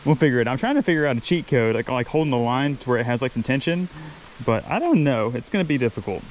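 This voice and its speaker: An enthusiastic man talks through a fishing trip at a rocky waterfall pool, chatty and quick to laugh. His voice sits in a middle range, picked up by a camera he wears, with faint outdoor noise around him. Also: mid-range voice, very animated, low-quality recording. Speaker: fishing channel host. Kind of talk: excited outdoor vlog commentary. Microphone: head-mounted camera mic.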